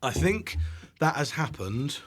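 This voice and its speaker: A man's voice: fairly high pitch, very animated, takes it slowly, breathy edge. A British man telling casual anecdotes as a guest, in a self-deprecating way with some casual swearing. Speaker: guest, internet cooking creator.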